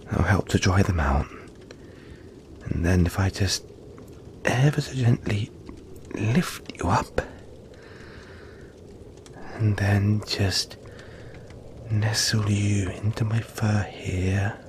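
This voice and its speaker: A man voicing a gentle, friendly young yeti in an intimate audio roleplay, speaking softly and reassuringly, with halting, breathy phrasing. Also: fairly low pitch, a little hiss or hum. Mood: tender, soothing. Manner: soft-spoken, reassuring, halting, often breathy near-whisper.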